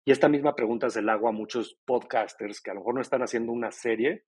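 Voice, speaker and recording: A man's voice: medium pitch, quick pace, a little mellow, breathy edge. A middle-aged man, a company co-founder answering interview questions in a fluent, explanatory way. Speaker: podcast company co-founder, guest. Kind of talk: casual interview talk.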